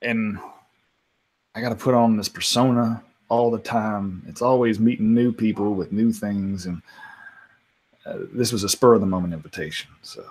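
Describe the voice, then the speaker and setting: A man improvising casually as a player in a tabletop game, with a joking, halting and conversational way of talking. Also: lowish voice, a little mellow, breathy edge, rough recording. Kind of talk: casual in-character improv banter.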